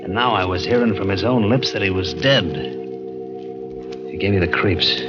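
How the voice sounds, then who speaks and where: A hardboiled crook from 1940s radio, blunt and slangy, in a lowish voice. He is tough but jittery. The vintage recording is muffled, with music behind it. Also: a little slow. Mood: uneasy, narrating.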